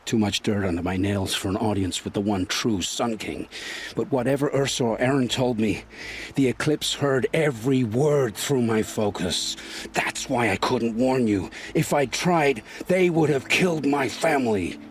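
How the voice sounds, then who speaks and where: An actor voicing a haunted, grim male scavenger who tells dark stories with intensity, in a video game. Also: mid-range voice, normal speed, a little hiss or hum, lightly acted. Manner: intense, dramatic storytelling.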